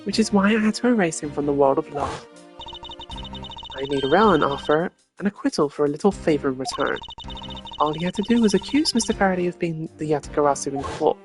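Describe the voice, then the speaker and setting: A woman voicing a gloating female thief and murderer in a video game, with a mocking, theatrical, drawn-out delivery. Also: sits low, animated, over music. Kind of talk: game character voice acting.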